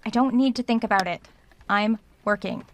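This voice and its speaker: A woman's voice: mid-range voice, normal speed, highs cut off, a little hiss or hum, lightly acted. An actress in an audio drama playing a stubborn, defensive woman novelist around thirty, with a clipped manner. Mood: irritated. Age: young adult.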